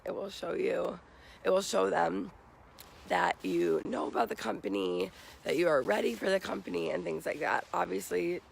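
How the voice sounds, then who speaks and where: A young American man with a raspy, morning-hoarse voice, giving casual advice to viewers as a vlogger. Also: high-pitched, a little hiss or hum. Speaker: vlogger giving job interview tips. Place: outdoors.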